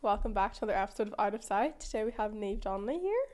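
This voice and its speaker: A woman's voice: mid-range voice, lively intonation, very fast, darkish tone. A woman in her twenties hosting a podcast, chatting in a friendly way and often agreeing with her guest. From Northern Ireland. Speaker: podcast host. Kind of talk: casual chat. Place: studio.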